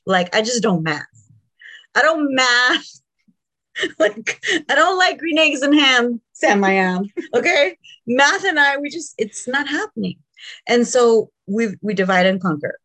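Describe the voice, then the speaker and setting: A woman in her forties, a native Spanish speaker, co-hosting a podcast in a jokey, animated way and laughing often. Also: a little high, a little slow. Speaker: podcast co-host. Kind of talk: casual chat.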